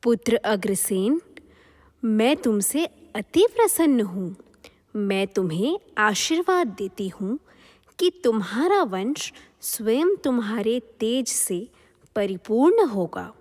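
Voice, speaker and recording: A woman's voice: fairly high pitch, big pitch swings, slow, a little mellow, full character voice. A woman playing a benevolent mother goddess in a mythological audio drama, giving stately, solemn blessings. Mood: pleased, blessing. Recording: long divine-sounding reverb.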